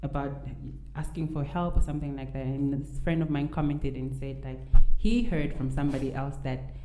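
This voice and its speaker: A woman's voice: very deep voice, moderate pace, warm tone, slight background noise. A woman from Namibia with a mixed Nigerian and Namibian accent, speaking as a podcast guest in a candid, encouraging, emphatic way. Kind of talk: casual conversation. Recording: echoey room.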